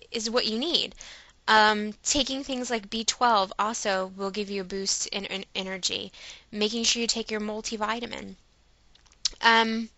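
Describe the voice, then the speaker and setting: A woman's voice: mid-range voice, bright, forceful tone, no room sound, low-quality recording. A woman vlogger talking casually and personally, giving earnest, emphatic and encouraging advice.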